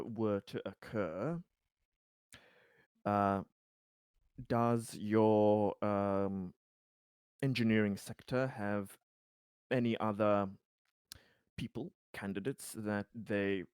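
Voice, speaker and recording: A man voicing a diplomatic male investigator in a tabletop game, choosing formal words carefully and haltingly. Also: lowish voice, fairly even intonation, darkish tone, clean tone, no echo, clean studio sound. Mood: guarded probing. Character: measured, diplomatic, discreetly probing male investigator.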